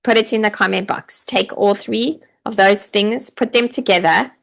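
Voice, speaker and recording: Chatty woman coach, gravelly. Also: medium pitch, steady tone, normal speed, fairly bright tone. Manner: chatty, encouraging, reads viewer comments aloud; frequent "like" and "okay" fillers. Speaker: online teaching coach. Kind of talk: live online training session.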